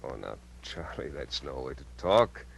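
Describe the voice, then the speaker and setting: An American actor playing a menacing, bitter, cajoling killer uncle in a 1950s radio drama, with intense delivery. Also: male voice, a bass voice, very animated, measured pace, creaky, exaggerated voice. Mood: coaxing, uneasy. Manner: intense, swinging from coaxing to contemptuous. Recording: vintage radio recording with hum.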